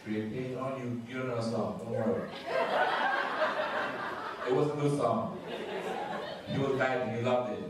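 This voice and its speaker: A man's voice: far from the mic, heavy background noise. Ghanaian man doing stand-up in an echoey club. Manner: loose, laughing, acts out quick high-pitched impressions of others. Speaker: stand-up comedian. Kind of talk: stand-up comedy set. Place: comedy club stage, room echoing.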